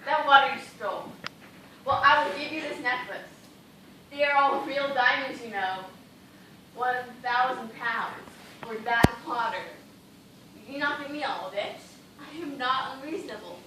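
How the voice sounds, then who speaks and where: Far from the mic in a very echoey, noisy hall, a woman plays a vain dancer stranded at sea and dying of thirst. She speaks in a high voice, with melodramatic pleading and formal theatrical diction. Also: close to an everyday voice. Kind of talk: amateur one-act stage play acting. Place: indoor theatre stage, recorded from the audience distance.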